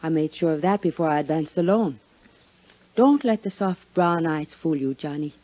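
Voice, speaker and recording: A woman's voice: deep voice, lively intonation, normal speed. A woman playing a cool, coy, hard-headed businesswoman in a 1950s radio drama, speaking with poised, teasing intimacy. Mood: guarded, cool. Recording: muffled vintage 1950s radio recording.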